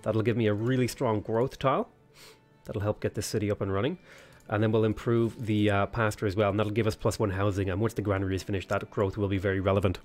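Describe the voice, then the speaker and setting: A man's voice: on the low side, flat delivery, fast, a little mellow, faint music behind. A man with a sniffly cold, casually commentating a strategy game while he thinks aloud, weighing choices with plenty of ums. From Ireland. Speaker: let's play host.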